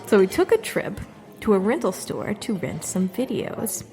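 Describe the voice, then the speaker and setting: A woman's voice: medium pitch, very animated, normal speed, vocal fry, dark tone. A woman playing a young adult performer giving a chatty, self-deprecating open mic monologue. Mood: wry. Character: wry young woman doing a stage monologue.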